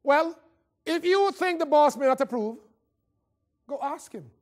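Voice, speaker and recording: Man, Caribbean accent, high voice, motivational speaking, conversational anecdotes, theatrical delivery. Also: animated, a little slow. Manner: theatrical storytelling, acting out dialogue. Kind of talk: animated motivational talk with humorous personal anecdotes.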